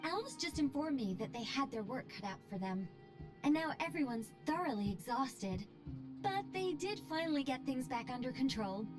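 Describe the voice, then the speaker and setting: An actress playing a poised, playful, self-assured angelic mage in a video game, airily polite and gently boastful. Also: female voice, high voice, sing-song, normal speed. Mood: thoughtful, grave. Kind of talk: video game character dialogue. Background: background music.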